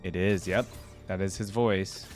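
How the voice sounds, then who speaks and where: A woman's voice: rumbling low, steady tone, measured pace, over music. A woman giving casual, off-the-cuff reaction commentary, musing thoughtfully as she goes. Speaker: reaction video host.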